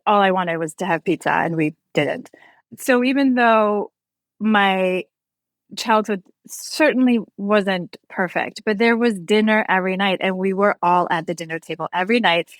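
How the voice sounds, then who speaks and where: A candid woman from North Iceland, native Icelandic speaker, chats as a guest. She sounds reflective and in her forties, and her voice is dry and close to the mic with a middling pitch. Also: moderate pace, dry recording. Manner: candid, reflective, trailing restarts. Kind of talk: casual interview.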